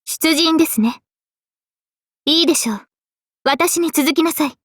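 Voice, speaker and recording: A young heroine mage from a Japanese fantasy game. Her voice is high, clear and youthful, with an earnest, courteous manner. The recording is close and dry, free of room sound. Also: measured pace, fairly bright tone, no room sound. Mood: solemn, regal. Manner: polite, earnest. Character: young girl mage, tomboyish, modest, earnest, later a solemn king. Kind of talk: Japanese game character voice lines.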